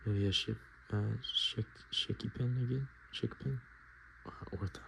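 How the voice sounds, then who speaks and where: A man softly speaking and whispering in a casual, murmuring ASMR style, as host showing items to the viewer. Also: fairly low pitch, steady tone, a little slow, gravelly, slight background noise. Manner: hushed, casual murmured asides. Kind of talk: ASMR, softspoken and whispered card show-and-tell.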